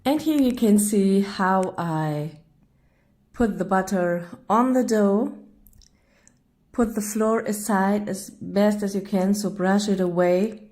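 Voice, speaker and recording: A woman from northern Germany with a German accent, narrating her baking video in English, a second language, pausing often to find words. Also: medium pitch, darkish tone. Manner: searching for English words, apologetic, hesitant with many uh fillers. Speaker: cooking vlogger.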